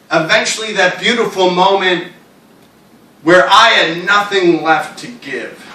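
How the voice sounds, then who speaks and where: A man giving a live reading of stories and poems in a wry, deadpan manner, delivering absurd lines seriously. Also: sits high, a little hiss or hum. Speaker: writer reading his own work aloud. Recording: roomy echo, distant mic.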